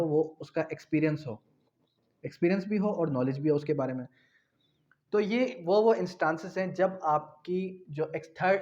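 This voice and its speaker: Higher-voiced Pakistani man lecturing, dull audio. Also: a little mellow. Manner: didactic, repeats phrases and asks himself questions. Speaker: law lecturer. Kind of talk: explanatory law lecture mixing in English terms. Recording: dull, lo-fi sound.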